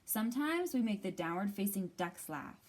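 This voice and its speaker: A woman's voice: medium pitch, big pitch swings, moderate pace, darkish tone. A woman reading as a jaunty, playful, yoga-loving lobster with a nautical streak in a picture book.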